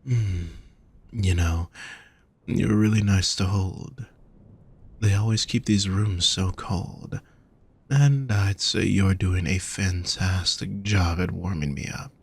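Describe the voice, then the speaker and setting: Low, husky, gentle demon lover, voiced by a man. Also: exaggerated voice. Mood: teasing, tender. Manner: soft, unhurried, tender teasing. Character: gentle, teasing male demon, affectionate monster boy. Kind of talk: intimate audio roleplay addressed to the listener.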